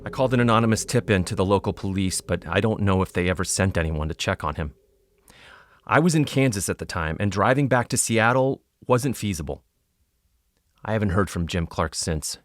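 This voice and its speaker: Low-voiced, brooding investigator man. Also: animated, fairly quick, no echo. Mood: somber, matter-of-fact. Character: earnest, troubled male paranormal investigator hosting a podcast. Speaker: host and narrator. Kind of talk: scripted confessional podcast narration.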